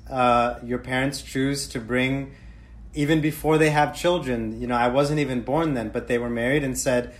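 An American man telling a personal story on a panel in a halting, reflective way. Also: mid-range voice. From United States.

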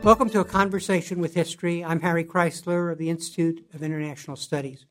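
A man hosting an academic interview, asking questions in a halting way that repeats words. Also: on the high side, steady tone, a bit bright, faint music behind. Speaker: interview host.

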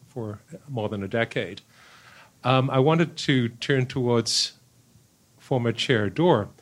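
A man moderating a university panel discussion, posing a long question in a measured way with frequent fillers. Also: mid-range voice, a bit bright.

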